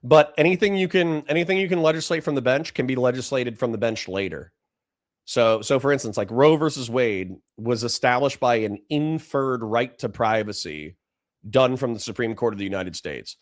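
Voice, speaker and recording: A man with a dry voice giving blunt, profane political commentary to a livestream chat. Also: mid-range voice. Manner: blunt, profane, fast restarts.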